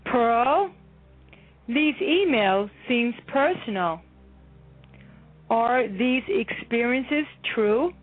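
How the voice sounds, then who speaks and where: Woman voicing a measured school counselor, slow and calm, phone-line sound, faint music behind. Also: a little high, no echo, exaggerated voice. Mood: concerned.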